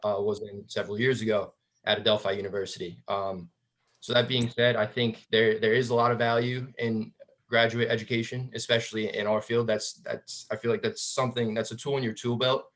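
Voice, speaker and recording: A man's voice: on the low side, level tone, a little fast, fairly bright tone. A man answering on a webinar panel as an alumnus, giving upbeat advice and often restarting his words.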